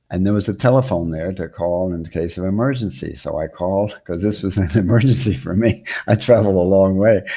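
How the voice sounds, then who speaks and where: An older man telling a personal travel story about his family, unscripted and reflective, halting and repeating words as he goes. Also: low-pitched, mellow. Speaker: storyteller recounting a family-history trip.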